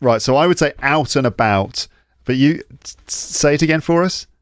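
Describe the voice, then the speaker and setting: A man's voice: fairly high pitch, normal speed, clean tone, no echo, studio quality. A man hosting a podcast, joking and rambling with fillers as he interviews a guest over a video call. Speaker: podcast host. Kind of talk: casual interview chat.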